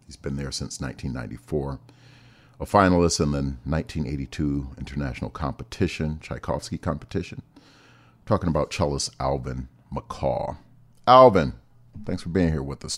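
Very deep-voiced male radio host. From Ohio. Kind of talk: interview.

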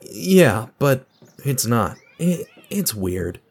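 A man acts out an awkward, burnt-out office worker in a radio play. His pitch moves around a lot as he confides earnestly and hesitantly, quick to apologize. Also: big pitch swings, a little mellow, breathy edge, exaggerated voice. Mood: earnest, uneasy. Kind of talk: audio drama acting.

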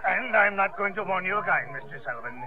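Fed-up ship's officer, high-pitched scolding, old muffled radio sound. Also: male voice, expressive, fast talker. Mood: exasperated, threatening. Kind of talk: 1950s radio drama acting. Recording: muffled vintage 1950s radio broadcast.

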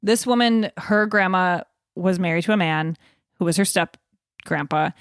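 A woman's voice: on the low side, moderate pace, dry recording, studio quality. A woman co-hosting a podcast in a casual, chatty style. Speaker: podcast co-host. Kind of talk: casual chat.

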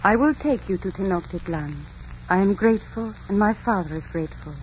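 An actress playing a gentle young Aztec princess, formal and earnest, in a 1940s radio romance. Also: female voice, mid-range voice, a little fast, close to an everyday voice. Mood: gracious, earnest. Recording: dull, hissy old radio recording.

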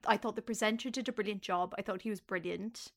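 A woman's voice: medium pitch, fairly even intonation, fast talker. An Irish woman co-hosting a podcast, chatting casually, self-mocking and prone to trailing off. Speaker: podcast co-host. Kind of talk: casual chat.

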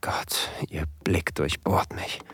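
A man playing an eager, nervous submissive husband, confiding his story in a hushed, deliberate first-person audio drama narration. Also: no room sound, in a whisper, clean studio sound. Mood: nervous, aroused.

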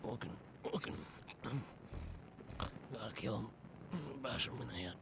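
An actor playing a grumpy, suspicious man in an audio drama, gruff, sardonic and defensive. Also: fairly low pitch, unhurried, heavy background noise. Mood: deranged, menacing. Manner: gruff, sardonic, prone to outbursts.

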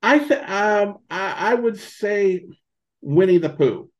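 An American man answering as a podcast guest, conversational and halting as he thinks aloud, on dull lo-fi audio. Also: sits high, expressive. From United States, rural Montana in early childhood. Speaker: podcast guest, old-time radio podcaster.

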